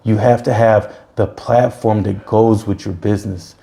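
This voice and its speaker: A man in his forties hosts a casual podcast chat. His voice is gravelly and mellow, and he talks in an earnest, repetitive pep-talk style with plenty of restarts. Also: fairly low pitch, steady tone, normal speed. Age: 40s. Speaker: podcast host.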